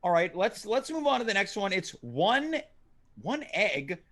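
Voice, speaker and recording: Male host, high voice, dry mock-serious questions, comedy show. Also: very animated, unhurried, fairly bright tone. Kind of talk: comedic interview.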